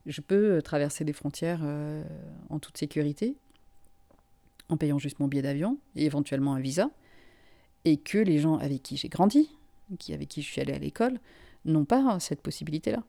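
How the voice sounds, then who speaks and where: A woman from Finland speaks in a low, mellow voice. She answers interview questions thoughtfully and often circles back over her own words while she works out a point. She sounds like a journalist in her forties talking through her work. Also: lively intonation, fairly quick, vocal fry. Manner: reflective, frequent restarts and repeated words. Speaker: freelance journalist, guest.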